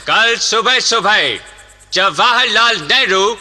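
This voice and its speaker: An actor playing a commanding prison guard who orders captives about in a radio drama. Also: male voice, high-pitched, animated, takes it slowly, sharp tone, in a large hall, faint music behind, heavily acted.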